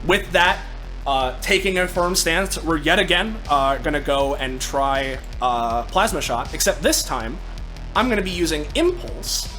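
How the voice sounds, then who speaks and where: A man playing a tabletop roleplaying game, improvising his character's actions in a halting, conversational way. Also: fairly high pitch, expressive, pushed and bright, rough recording. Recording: music playing behind the voice. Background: background music.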